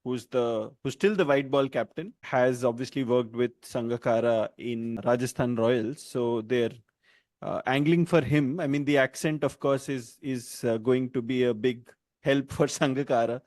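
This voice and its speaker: A man's voice: medium pitch, steady tone, normal speed, darkish tone, dry recording. A man co-hosting a podcast in casual chat, talking loosely and laughing often between points. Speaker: podcast co-host.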